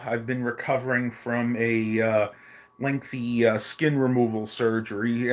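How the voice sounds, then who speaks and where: A man chats through a home live stream, his voice worn and going hoarse. He rambles loosely, filling gaps with ums and uhs. The sound comes from a phone held at arm's length in a small bedroom. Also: mid-range voice, a bit bright. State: losing his voice. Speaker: vlogger. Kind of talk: casual live stream chat.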